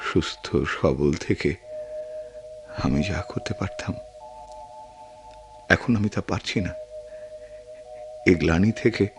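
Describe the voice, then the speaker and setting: A deep, brooding war veteran. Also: male voice. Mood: anguished. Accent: Bangladeshi. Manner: brooding, halting, dramatic delivery with long pauses. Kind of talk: Bengali radio drama acting.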